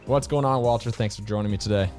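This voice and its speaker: A man's voice: fairly low pitch, quick pace, darkish tone, soft background music. A man hosting a podcast, interviewing in an informal, conversational way with colloquial phrasing. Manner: informal, says dude and like. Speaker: podcast host. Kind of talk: casual interview.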